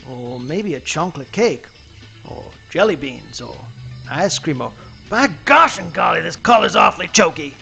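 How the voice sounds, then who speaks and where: A boastful cartoon alligator for a comic radio play. The voice is high and gravelly, leaping up and down as it blusters in folksy Southern swamp dialect. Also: male voice, very animated, normal speed, pushed and bright, faint music behind, heavily acted. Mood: playful, pleading. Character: blustering, boastful adult male cartoon alligator playing sheriff.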